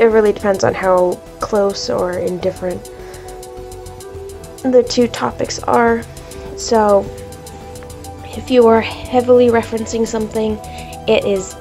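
A young woman talks slowly and haltingly, her voice congested and stuffed up from a cold. Her tone is dark and mellow with a gravelly rasp. She speaks at a desk at home, with faint music playing underneath. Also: fairly high pitch, fairly even intonation. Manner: hesitant, many ums, slow and deliberate. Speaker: art YouTuber. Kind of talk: casual commentary while drawing.

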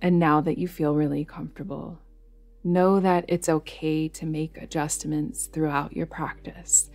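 A woman's low voice leads a guided meditation over music. She keeps her pitch very even and steady. Her delivery is soothing and unhurried, with space between the instructions. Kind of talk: guided yoga nidra meditation narration. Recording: music behind the voice.

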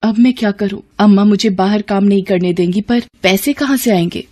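A woman's voice: medium pitch, brisk, noisy background, close to an everyday voice. A woman voicing a worried, dutiful young wife and mother in a cartoon story, earnest and respectful. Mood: troubled.

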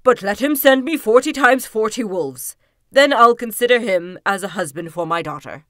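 A woman voicing a blustering, suspicious male king in a folktale, speaking loudly and imperiously. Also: on the high side, expressive, normal speed, no echo, studio quality. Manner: loud, imperious.

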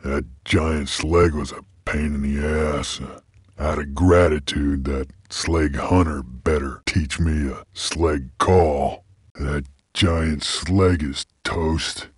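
A weary, menacing frontier bounty hunter creature from a video game, speaks in an extremely deep, husky voice. His Western American drawl is slangy and deadpan. The recording is close and dry. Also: slow, no echo, exaggerated voice. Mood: gruff, wary. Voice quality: husky, gravelly. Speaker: game protagonist. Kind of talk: video game character lines.

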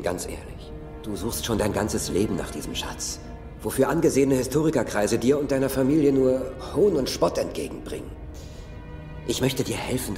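A wealthy, ruthless adventure-film villain with a low voice. He talks persuasively and with control, in an echoey space with clear music underneath. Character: smooth-talking, ruthless male villain, wealthy backer. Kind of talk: film dub acting.

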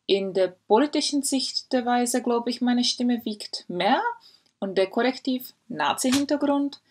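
A woman in her forties from the Republic speaks German as an in an earnest, thoughtful way. She pauses and hesitates often as she works through her points. Her voice is slightly husky, and the sound is picked up by a laptop webcam in a study at home. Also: fairly high pitch. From Czech Republic. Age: 40s. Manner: earnest, reflective, with frequent hesitations. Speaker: historian. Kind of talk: personal video statement. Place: home study lined with bookshelves. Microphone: laptop webcam mic.

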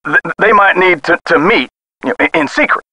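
An actor playing a flustered, bashful older theater caretaker in a video game, stammering and hesitating evasively. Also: male voice, sits high, fairly quick, creaky, sharp tone, slightly rough, no room sound, full character voice. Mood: flustered, embarrassed.

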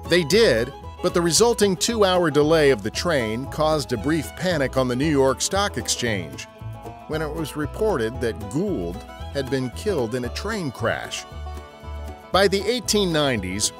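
Animated male storyteller over music. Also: medium pitch. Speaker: podcast narrator. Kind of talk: scripted storytelling narration.

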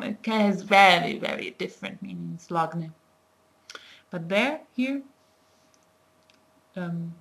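A woman's voice: fairly low pitch, creaky. A young woman in her twenties giving a casual language lesson to a webcam in a small room, talking in a chatty, thinking-aloud way with many fillers. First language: not Nepali. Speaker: language teacher. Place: small indoor room, plain wall. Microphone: webcam at desk distance.

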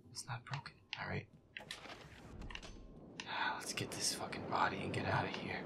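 A man playing a feisty, grumbling werewolf boyfriend, muttering sweary, exasperated commentary over a scary video game in a breathy, gravelly voice. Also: sits low. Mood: muttering, impatient. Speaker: gameplay performer. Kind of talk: hushed in-character gameplay commentary.

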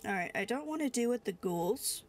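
A woman's voice: a little high, expressive, brisk, soft background music. A young woman with a stuffy cold, rambling sleepily and full of fillers while playing a video game. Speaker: gaming streamer. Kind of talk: casual let's-play commentary.

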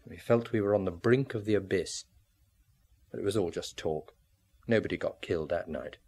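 A bitter artist full of remorse in a radio play. He has a lowish, husky voice and broods over his words, leaning hard on some. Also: moderate pace, a little mellow. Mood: brooding. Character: bitter, remorseful artist recalling a wrecked youth. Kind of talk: radio drama acting.

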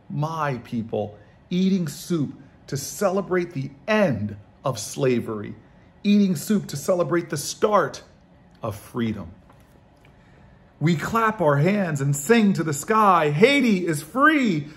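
A man voicing an eager, curious young granddaughter who narrates a storybook in an expressive, wide-eyed way. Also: a little high, slow pace, pitched above the performer's own voice. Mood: excited.

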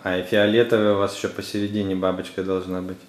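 A man's voice: sits low, flat delivery, fast. A man talking casually as a father, prompting and instructing children through a craft at home. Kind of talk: casual family chat. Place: indoor room at home. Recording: roomy echo, faint background noise.

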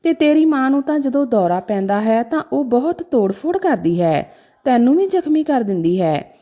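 High, mellow, expressive woman storyteller. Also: normal speed. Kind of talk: scripted dramatic narration of a sentimental moral story.

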